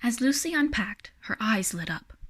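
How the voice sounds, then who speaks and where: A woman narrating a children's picture book in an expressive read-aloud style. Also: on the high side, normal speed, slightly rough. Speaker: storybook reader.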